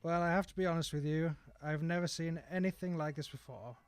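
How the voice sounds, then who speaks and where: A male actor plays a kindly, slightly bumbling Victorian village doctor in a radio drama. His voice is fairly high and he speaks in a measured, reassuring way. The recording is dry and close. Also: steady tone, smooth, dry recording, lightly acted. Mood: reassuring, candid.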